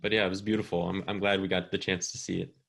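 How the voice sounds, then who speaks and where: A man who makes documentaries, speaking haltingly with many pauses and restarts on a video call. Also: low voice, little pitch movement, creaky, fairly bright tone. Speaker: documentary filmmaker. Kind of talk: conversational panel answer.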